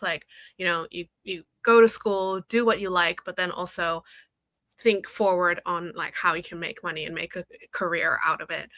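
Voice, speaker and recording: A woman's voice: medium pitch, bright, forceful tone, no room sound. A woman in her thirties from Hong Kong, a brand designer chatting as a guest on a live video call, laughing often. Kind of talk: casual interview chat.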